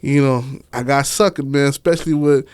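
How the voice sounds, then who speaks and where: A man's voice: medium pitch, steady tone, fairly quick, darkish tone, clear, resonant tone, no echo. A man chatting casually and candidly as an interview guest, in slangy, profane language and repeating himself as he tells his story. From Miami, United States. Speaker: interview guest, author and stage play director.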